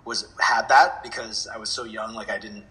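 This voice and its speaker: A man speaking candidly and earnestly in a reflective interview, often pausing and restarting as he thinks. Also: on the low side, fairly even intonation, sharp tone, low-quality recording. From born in America to Indian parents, grew up in several countries. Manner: earnest, candid, hesitant with frequent throat clearing. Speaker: interview guest, dance music producer.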